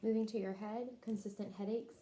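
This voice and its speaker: Mellow-voiced woman doing a clinical interview. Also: mid-range voice, level tone, fairly quick, a little hiss or hum, poor audio. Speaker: nurse interviewer taking a health history.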